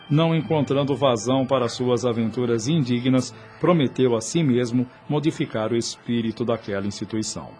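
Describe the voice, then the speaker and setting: A man's voice: mid-range voice, a little mellow. A man with a Brazilian accent reading scripted radio drama narration in a solemn, literary manner. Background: music.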